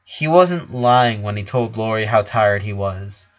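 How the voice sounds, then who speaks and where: A man's voice: fairly low pitch, lively intonation, unhurried, highs cut off. A man reading a dramatic story aloud as narrator. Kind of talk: dramatic fan fiction narration.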